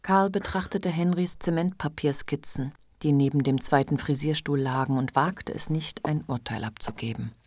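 Calm narration for a literary radio drama. The woman's voice is low and breathy, recorded very dry. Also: moderate pace, few pauses, no room sound. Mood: calm, observant.

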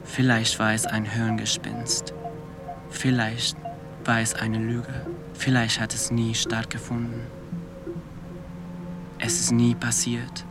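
A man reciting a scripted radio-play monologue in measured, declarative statements. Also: medium pitch, completely flat delivery, over music.

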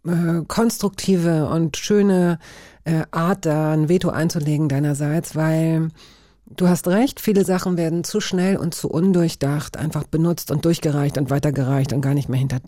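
A woman hosting a conversational interview, phrasing her questions and remarks deliberately, with pauses. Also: deep voice, steady tone, mellow, no room sound, studio quality. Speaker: interview host.

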